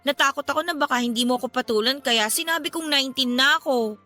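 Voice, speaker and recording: Radio drama: lovestruck Filipino teen girl, clingy and pleading, very high, bright voice. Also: fast, soft background music. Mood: sheepish, coaxing. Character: lovestruck sixteen-year-old girl pleading with her older boyfriend.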